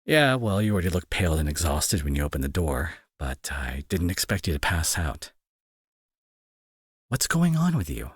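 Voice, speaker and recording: Husky, sarcastic young bully played by a man. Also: low-pitched, very animated, normal speed, no room sound, studio quality, exaggerated voice. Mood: worried, guarded. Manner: sarcastic, exasperated, sighing. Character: gruff young male bully, secretly worried and protective. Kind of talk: intimate audio roleplay acting, addressed to listener.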